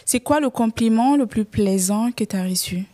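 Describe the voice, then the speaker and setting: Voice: medium pitch, moderate pace, dark tone. A podcast host in a casual interview who gives short listening sounds and asks brief questions.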